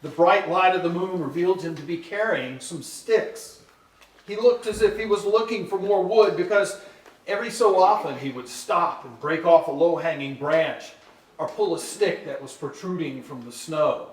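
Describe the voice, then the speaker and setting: A man's voice: on the high side, lively intonation, normal speed, close to an everyday voice. A man giving scripted storybook narration at a live staged reading, recorded at a distance in an echoey hall.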